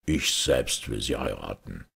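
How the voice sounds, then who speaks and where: A man voicing a covetous, commanding king in a fairy tale, gravelly and very deep, weighty and imperious. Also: expressive, a little slow, pushed and bright, heavily acted. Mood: threatening.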